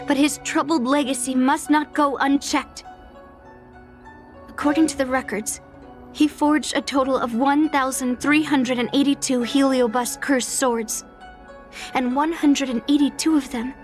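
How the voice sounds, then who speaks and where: A woman voicing a stubborn, resolute young swordswoman in a video game, speaking in an earnest, deliberate way. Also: high voice, fairly even intonation, soft background music. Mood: grave, resolute. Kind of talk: dramatic video game voice acting.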